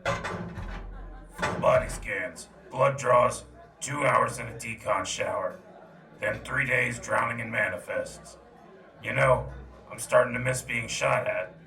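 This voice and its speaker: An actor playing a stubborn, sardonic soldier with dry, clipped delivery in a sci-fi military audio drama. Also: male voice, medium pitch, slow, vocal fry, long pauses, sharp tone, reverberant, recorded from a distance, full character voice. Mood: wry, weary. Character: defiant, world-weary male soldier, sardonic and stubborn.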